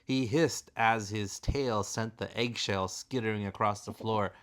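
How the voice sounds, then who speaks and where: A man's voice: fairly low pitch, steady tone, a little slow, fairly bright tone. A man reading a children's fantasy storybook aloud as narrator. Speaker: father reading a bedtime story.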